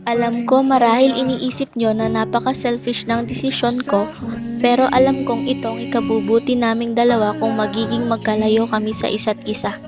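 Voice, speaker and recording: A melancholy, reflective young chef narrates a radio drama as a woman acts it. The voice is fairly high and even in pitch, with music clearly underneath. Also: normal speed, lightly acted. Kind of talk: scripted first-person drama narration.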